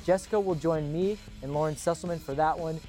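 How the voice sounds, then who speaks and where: Man, sports show host, fairly high pitch, opinionated, emphatic, casual commentary, light music bed. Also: fairly quick, mellow.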